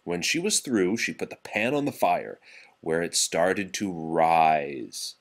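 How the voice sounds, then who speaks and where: A man's voice: low-pitched, lively intonation, slow. A man reading a rhyming children's story aloud, with theatrical, rhythmic phrasing. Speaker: storybook reader. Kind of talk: expressive children's read-aloud of rhyming verse.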